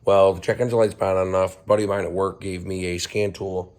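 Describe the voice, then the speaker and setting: A hoarse man with laryngitis, hosting a casual garage vlog update, rambling with frequent ums and coughs. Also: low-pitched, little pitch movement, fast talker, darkish tone, slight background noise. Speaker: channel host, car restorer.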